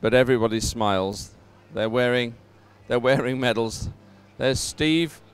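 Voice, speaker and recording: A man's voice: mid-range voice, a little slow, clean tone. A man giving live race commentary in an informal, conversational and encouraging way. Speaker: sports commentator.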